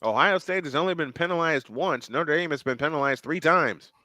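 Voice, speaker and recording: A man calling football play by play, his voice bright and a little high. He sounds dry and close to the mic and swings up into excited calls on big plays. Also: a little fast, no echo. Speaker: play-by-play commentator. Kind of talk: live game commentary on a fan stream.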